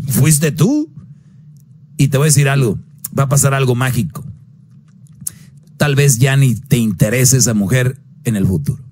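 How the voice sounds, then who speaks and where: A man hosts a radio advice call-in. His voice sits at an ordinary middle pitch. He talks bluntly and preachily, jumping his pitch up sharply whenever he drives a point home. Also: measured pace, long pauses, a little mellow.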